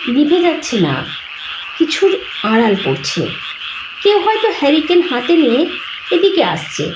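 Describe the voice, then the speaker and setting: A woman's voice: high voice, huge pitch swings, measured pace, heavily acted. A woman voicing an earnest, curious male character who questions urgently in a horror story. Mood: reassuring. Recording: roomy, dull, noisy home recording.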